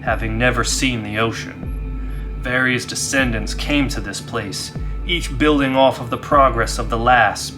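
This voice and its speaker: A man who hosts a gaming channel, talking to his audience and telling stories of solo play. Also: medium pitch. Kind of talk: dramatized horror story narration and casual review.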